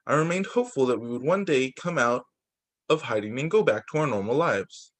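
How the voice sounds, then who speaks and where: A man's voice: mid-range voice, expressive, brisk, fairly bright tone. A man reading a children's book aloud as a teacher, occasionally stumbling, repeating phrases and adding casual asides. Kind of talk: children's story time read-aloud.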